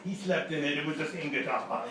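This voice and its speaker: A man acts out an old blues musician in a radio comedy sketch, folksy and genial. His voice is on the high side and heard from far off in a very echoey, noisy space. He rambles and chuckles through his tales. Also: fairly bright tone, close to an everyday voice. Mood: genial rambling. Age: older. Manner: folksy, rambling, chuckling storyteller with halting repeats. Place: live show in a hotel room. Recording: echoey live stage, distant mic, noisy.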